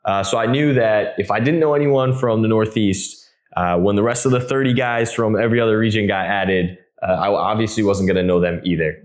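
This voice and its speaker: A man's voice: fairly low pitch, fairly quick. A man in his twenties hosting a podcast in a casual, friendly way, with many "uh" fillers. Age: 20s. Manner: relaxed, frequent "uh" fillers, friendly. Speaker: podcast host.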